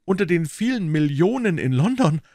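A man's voice: a little high, big pitch swings, normal speed, darkish tone, no room sound, studio quality, pitched above the performer's own voice. A man voicing a blunt, prosaic police inspector who speaks brusquely in a raised voice.

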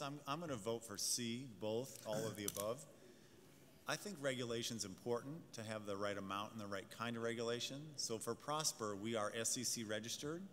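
A man speaking confidently on a conference panel, pitching to the audience. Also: a bit bright. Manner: confident, salesy, addresses the audience. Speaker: fintech executive panelist. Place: large conference hall stage. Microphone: table mic. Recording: hall reverb, faint room noise.

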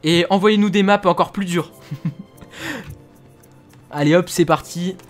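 A man's voice: high-pitched, slow pace, soft background music. A man from France chatting casually and laughing as he plays a video game. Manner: chatty, laughs often, fillers. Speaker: gaming youtuber. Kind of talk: casual gaming commentary.

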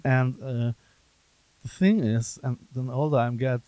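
This is a middle-aged man with a German accent, a podcast host asking questions in a loose, friendly way. He stumbles over and repeats words, laughing often. His voice sits at a middle pitch, recorded close and dry. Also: fairly even intonation, unhurried, dark tone, no echo. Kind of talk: casual interview.

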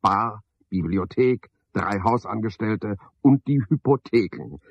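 Very deep, husky, cynical night porter. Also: male voice, slow, dry recording, heavily acted. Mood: scheming, boastful. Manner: sardonic, pedantic, swinging from muttering to outbursts. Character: cynical, scheming, misanthropic male night porter, talking to himself. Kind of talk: 1970s German radio play monologue. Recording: dull, lo-fi, dry studio radio recording.

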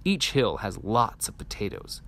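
A man reading a children's picture book aloud in an animated, expressive storytelling manner. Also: fairly low pitch, a little slow, creaky, slight background noise. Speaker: storybook reader.

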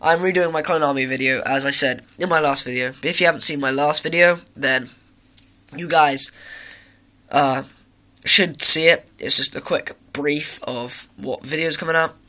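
Bright-voiced teen boy with a sore throat. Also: on the high side, steady tone, moderate pace. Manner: rambling, with many fillers and self-corrections. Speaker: hobby video maker. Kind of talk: casual walkthrough of a model build. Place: indoor room at home, filming with a handheld camera.

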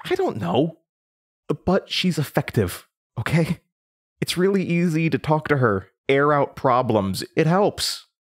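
A man's voice: on the high side, animated, measured pace. An actor playing a nervous, confused young man under interrogation, stammering and hesitant in a naturalistic audio drama. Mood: uneasy, defensive.

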